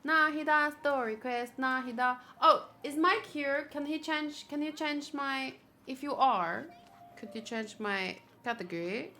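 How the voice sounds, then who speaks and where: A woman chatting casually on a gaming livestream, thinking aloud in an expressive, chatty way. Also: high-pitched, measured pace, faint music behind. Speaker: video game streamer. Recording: clear background noise.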